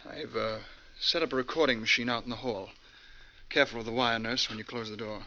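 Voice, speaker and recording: An actor playing a polite, businesslike superintendent in a 1940s radio drama, speaking in a measured official manner. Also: male voice, mid-range voice, fairly even intonation, bright, forceful tone. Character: businesslike, polite institution superintendent taking a statement. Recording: dull, faintly noisy vintage radio recording.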